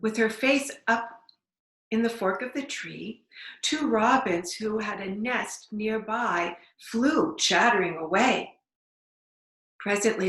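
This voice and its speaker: Woman reading a kids book out loud, takes her time, explains stuff, roomy echo. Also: mid-range voice, vocal fry. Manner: deliberate, explains things simply for young children. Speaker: librarian reading a storybook to children. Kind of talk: read-aloud storybook narration with explanatory asides. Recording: echoey room.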